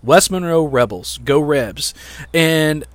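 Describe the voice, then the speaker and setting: A man's voice: on the high side, lively intonation, takes it slowly, no room sound. Man, rambling podcast preacher. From Louisiana, American South. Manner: rambling, repeats words, self-deprecating humor. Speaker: podcast host. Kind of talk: sermon-like podcast talk. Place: outdoors.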